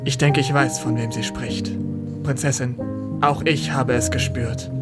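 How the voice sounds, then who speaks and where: An actor playing an officious, courtly British officer in a comic radio play. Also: male voice, mid-range voice, steady tone, measured pace, loud music behind the voice, close to an everyday voice. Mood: lovestruck.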